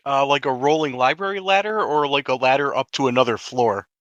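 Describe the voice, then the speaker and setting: A man's voice: mid-range voice, a little fast, fairly bright tone, no room sound, lo-fi sound. A man taking part in a tabletop game as a player, chatting casually about his moves.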